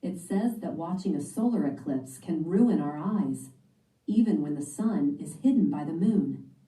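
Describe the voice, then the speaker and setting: Eager curious storybook schoolgirl, read by a woman, mellow lowish voice, roomy distant sound. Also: expressive, measured pace.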